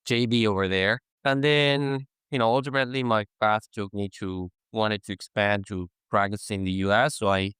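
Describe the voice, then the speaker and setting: A young adult man from Argentina, talking relaxed as a guest lawyer in an interview. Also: on the low side, normal speed, hardly any pauses, clear, resonant tone, dry recording, studio quality. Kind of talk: casual interview talk.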